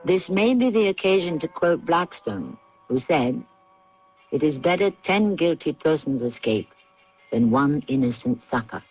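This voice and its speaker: A man hosting and narrating a scripted radio mystery in a measured, literate, theatrical manner. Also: a little high, animated, faint music behind. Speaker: host and narrator. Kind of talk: scripted radio drama introduction. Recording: dull lo-fi radio recording.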